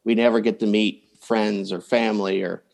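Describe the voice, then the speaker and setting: A man's voice: fairly low pitch, little pitch movement, normal speed, vocal fry, a little mellow. Male dating coach talking on a podcast. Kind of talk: conversational advice on a podcast.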